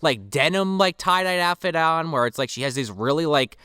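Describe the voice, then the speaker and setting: A man co-hosting a movie podcast, with a bright, forceful voice that is smooth and clear. He recaps scenes in long, joking rambles. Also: on the high side, normal speed, no echo, clean studio sound. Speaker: podcast co-host. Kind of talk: casual movie review chat.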